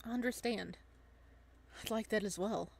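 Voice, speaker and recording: Lady reading soft-hearted fisherman guy, calm n reassuring, romance game lines. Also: medium pitch, moderate pace, creaky, husky. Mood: content. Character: gentle, composed fisherman, fond of his admirer. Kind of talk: visual novel dialogue read aloud.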